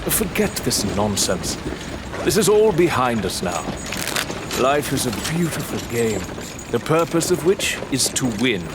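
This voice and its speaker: A haughty disgraced lord in a gothic audio drama. His mid-pitched voice lectures in measured, lofty phrases over the clear noise of a vehicle outdoors. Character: proud, disgraced English lord and father, unrepentant gambler.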